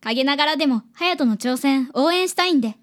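A woman's voice: high voice, expressive, a little fast, fairly bright tone. A woman playing a young, devoted elder sister as a polite, sincere client in a radio drama. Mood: moved, grateful.

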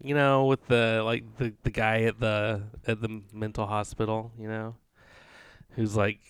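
A man's voice: lowish voice, level tone, unhurried, clean tone, no room sound, clean studio sound. A man chiming in with jokes during a casual movie chat. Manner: joking, chiming in. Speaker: podcast co-host.

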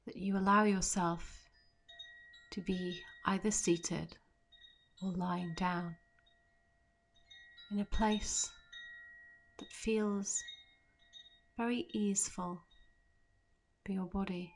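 A woman leading a guided meditation in a soft, soothing, gentle voice, leaving long pauses and trailing off breathily at the ends of phrases. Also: medium pitch, fairly even intonation, creaky, slight background noise. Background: soft meditation music with chimes.

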